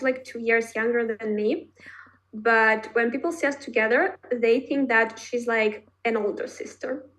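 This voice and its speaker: Chatty young woman, bright pitch. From Russia. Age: 20s. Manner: chatty, many fillers like "like" and "I mean". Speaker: marketing manager. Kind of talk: casual chat.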